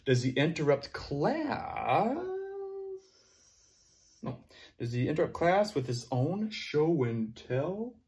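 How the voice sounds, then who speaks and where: Lively young male teacher with gravelly voice. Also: fairly high pitch, takes it slowly, long pauses. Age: young adult. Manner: animated, playful, sound effects and cheering praise. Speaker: preschool teacher. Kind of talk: vocabulary lesson and picture book read-aloud for young children. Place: classroom with word cards on a board.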